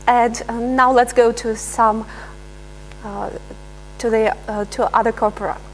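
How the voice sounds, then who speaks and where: A woman researcher giving a live software demonstration, pausing often with fillers and restarting her sentences. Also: fairly high pitch, level tone, slight background noise, low-quality recording. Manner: hesitant, many filler sounds and restarts. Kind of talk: live software demo talk.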